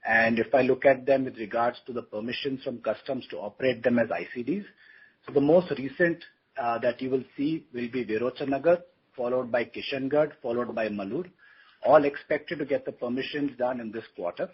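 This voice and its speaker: A man speaking as a company executive, giving a business explanation on a conference call in a very rough, husky voice. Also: medium pitch, fairly quick. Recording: dull, lo-fi conference call audio.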